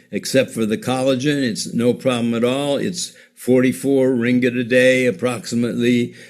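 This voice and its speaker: Man giving a halting sales talk. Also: fairly low pitch, a little mellow. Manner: hesitant, many fillers and restarts. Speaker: presenter. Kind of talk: sales presentation.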